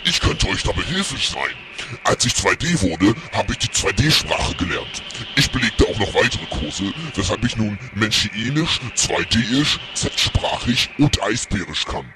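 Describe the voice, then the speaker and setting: Man playing a slow, low polar bear interpreter. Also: sing-song, noisy background. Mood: helpful, deliberate.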